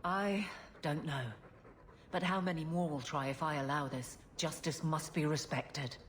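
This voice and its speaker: A woman's voice: deep voice, steady tone, unhurried, a little hiss or hum. An actress playing an earnest, worried female guard captain.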